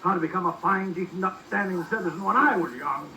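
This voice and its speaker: An echoey, distant 1940s radio comedy recording. A pompous middle-aged father blusters with scorn in a high, forceful voice that leaps about. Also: fast talker, slight background noise.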